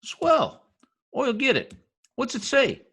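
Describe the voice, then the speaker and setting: Man acting bumbling self-important husband, 1950s radio comedy, extremely animated pitch, folksy slang, cocky. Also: slow pace, full character voice. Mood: confident, explaining. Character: cocky, bumbling middle-aged husband turned nosy census official.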